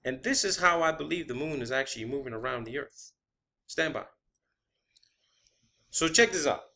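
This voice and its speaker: A man's voice: a little high, moderate pace, creaky, pushed and bright. A man giving an informal opinion monologue as a video host, emphatic and combative, often swearing. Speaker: video host presenting own theory.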